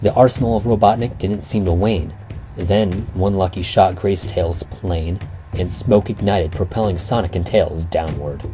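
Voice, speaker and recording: A man with a low voice reads a rhyming bedtime adventure. His delivery is theatrical and measured, in the manner of a storybook narrator. Music plays clearly under the voice. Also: dark tone. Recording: music behind the voice.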